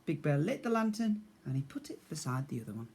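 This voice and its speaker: A man reads a picture book aloud for young children. His narration is measured and expressive, with lively rises and falls in the manner of a teacher at story time. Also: a little high, slight background noise.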